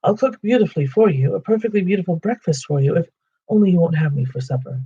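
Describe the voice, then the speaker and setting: A man's voice: sits high, expressive, quick pace, mellow, husky, dull audio, pitched above the performer's own voice, exaggerated voice. A man voicing a small, frightened male hobbit who stammers and pleads. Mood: pleading.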